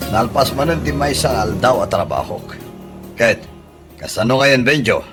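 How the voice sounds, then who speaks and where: A man's voice: medium pitch, moderate pace, over music, natural voice. A man with an Ilocano accent playing a nagging older male relative in a comedy radio drama. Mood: questioning, reproachful.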